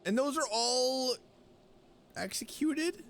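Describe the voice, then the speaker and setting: A woman's voice: high-pitched, expressive, slow, noisy background. A woman doing casual live reaction commentary on a story game, with playful, sarcastic asides. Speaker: streamer playing a story game.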